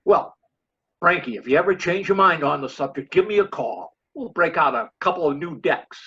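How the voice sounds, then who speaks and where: A genial card-loving writer in a stage play reading played by a woman. The voice is husky and swings widely in pitch, on dull, lo-fi call audio. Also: animated, normal speed, a bit bright, full character voice. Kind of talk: virtual readers theater play reading.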